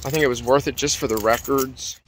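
Easygoing man, casual haggling vlog, outdoor chest-cam sound with steady noise. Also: mid-range voice, fairly quick. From Philadelphia. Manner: easygoing, chatty, counts items aloud. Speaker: thrift reseller vlogger. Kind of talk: casual chat while haggling at a yard sale. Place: outdoors at a driveway yard sale. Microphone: chest-mounted action camera. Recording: outdoor camera mic with steady background noise.

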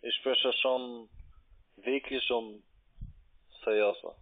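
A man with a foreign accent, speaking halting, broken phrases in a phone conversation as a call centre agent. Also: mid-range voice, steady tone. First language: not German. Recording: tinny, narrow phone line.

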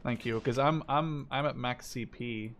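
A man in his twenties from the Netherlands chatting casually as he plays a game, excitable and prone to exclaiming. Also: medium pitch, unhurried. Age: 20s. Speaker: gaming video host and player. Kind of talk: casual gameplay chat and banter. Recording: game gunfire and artillery noise behind. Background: gunfire and artillery from a war game.

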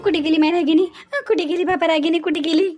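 A woman's voice: very high-pitched, steady tone, fast, a little mellow. A woman playing an anxious, doting middle-aged mother in a cartoon family drama, with a Varhadi Marathi accent and an urgent, repetitive, plaintive manner. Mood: distraught.

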